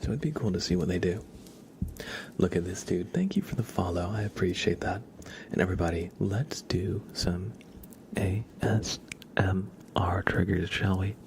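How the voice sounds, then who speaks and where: A man hosting an ASMR livestream, chatting casually and unhurriedly in a soft, gentle murmur that drifts into whispers. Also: sits low, moderate pace, a little hiss or hum. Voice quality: soft, breathy half-whisper. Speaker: livestream host reading chat.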